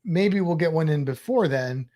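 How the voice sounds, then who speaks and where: A man's voice: fairly high pitch, expressive, moderate pace, darkish tone. Playful joking man co-hosting a podcast. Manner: jokey, playful with puns. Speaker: podcast co-host. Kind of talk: casual chat.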